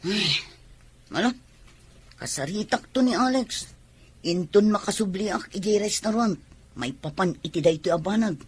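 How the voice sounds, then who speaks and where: A woman's voice: medium pitch, expressive, a little slow, pauses often, slightly rough. A Filipino actress playing a brash, scheming young tomboy woman in a radio soap opera.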